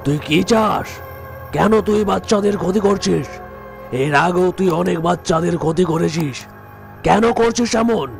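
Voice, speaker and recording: A man playing a stern, commanding tantric holy man in an animated horror story, with a solemn manner. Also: sits high, moderate pace, vocal fry. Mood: stern interrogation. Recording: music behind the voice.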